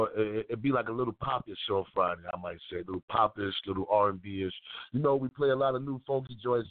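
A man's voice: mid-range voice, dry recording, phone-quality sound. A man hosting his own radio show from his studio, talking in a loose, slangy patter with a stuffy nose from a cold. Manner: laid-back slangy patter. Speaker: radio DJ host. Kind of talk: casual radio show talk.

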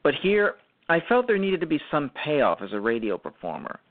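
A man hosting a radio show, speaking in a halting way that often restarts and repeats phrases as he gives commentary. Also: a little high, huge pitch swings. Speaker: radio show host and writer-performer. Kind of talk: show commentary, semi-prepared talk. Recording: muffled lo-fi audio.

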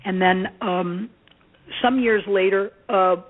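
A woman's voice: medium pitch, steady tone, takes it slowly. A tinny, phone-like line carries the voice of a middle-aged American woman. She is a professor being interviewed, and she talks conversationally, with an earnest and reflective manner. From United States (Indiana), born in Greece. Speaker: university professor, interview guest.

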